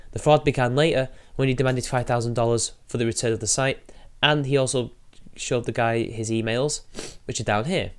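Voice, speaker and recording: A man talking casually on a live stream, with a middling pitch and a sniffle from allergies. He rambles from point to point and talks straight to his viewers between thoughts. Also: steady tone, brisk, smooth. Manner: rambling, talks to chat while fiddling with software.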